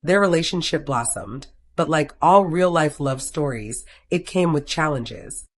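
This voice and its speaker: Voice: mid-range voice, animated, a little slow. A narrator reading a scripted romantic story, telling it in the third person.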